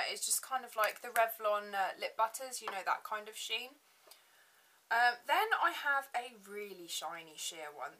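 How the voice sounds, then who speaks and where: A young woman, a little gravelly today, chatting casually to camera in her bedroom as a beauty vlogger. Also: a little high, pushed and bright. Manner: chatty, filler-heavy, upbeat. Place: bedroom, indoors.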